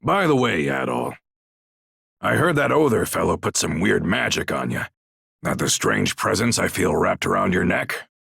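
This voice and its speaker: Gravelly, husky warrior chief. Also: male voice, low voice, sing-song, moderate pace, a bit bright, no echo, exaggerated voice. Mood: gruff, frank. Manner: gruff, folksy, commanding. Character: brash, blunt male Norman warrior chief, unfazed and teasing. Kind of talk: video game cutscene acting.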